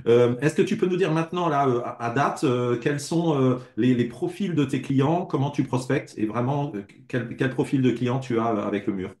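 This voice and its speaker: A man's voice: medium pitch, normal speed, hardly any pauses, a little mellow. A man interviewing a client, hesitant, with many fillers and restarts. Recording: echoey room.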